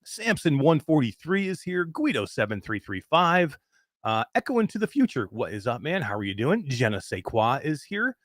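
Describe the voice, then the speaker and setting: A man of fifty hosting a live show in casual chat, reading out greetings with excitement. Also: medium pitch, normal speed, no echo, studio quality. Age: 50s. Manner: excited, animated chat shout-outs. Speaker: host.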